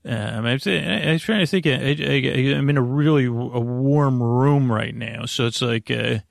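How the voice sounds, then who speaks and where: A man with a creaky voice hosting a sleep podcast in a rambling, improvised monologue, halting and stammering as he restarts his sentences. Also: medium pitch, dry recording, studio quality. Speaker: host of a bedtime-story podcast to help listeners sleep.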